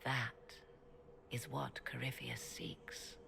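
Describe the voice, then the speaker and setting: Cryptic fantasy game sorceress, gravelly edge, slow dramatic delivery, sure of herself, music behind. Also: female voice, extremely low pitch, level tone, pushed and bright, heavily acted. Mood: grave, mysterious. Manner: theatrical, deliberate, archaic phrasing. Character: cryptic, self-assured sorceress, adult woman with arcane knowledge.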